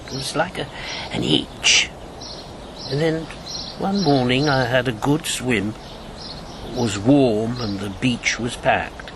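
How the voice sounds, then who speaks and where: An actor playing a bitter, haunted older former secret agent in a radio drama, wry and brooding. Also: male voice, mid-range voice, slow, pauses often, background noise. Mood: haunted, reflective.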